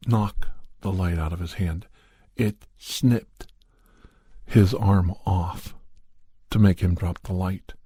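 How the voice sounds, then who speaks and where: A man with a mellow, husky voice tells a creepy story in ASMR style. He speaks very slowly and deliberately, pausing inside his phrases. The sound is close and dry. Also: on the low side, no room sound. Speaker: podcast host and storyteller. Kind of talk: ASMR storytelling, first-person horror narration in character.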